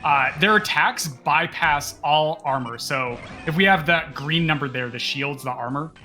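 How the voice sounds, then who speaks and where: A man's voice: a little high, unhurried, sharp tone. A man giving casual, explanatory live commentary on a video game speedrun.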